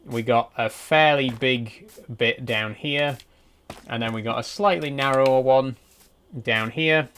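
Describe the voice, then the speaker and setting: Relaxed young man chatting while gaming. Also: mid-range voice, a little slow, slight background noise. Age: 20s. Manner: relaxed, rambling, answers chat messages. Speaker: streamer. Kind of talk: casual live-stream chat while playing.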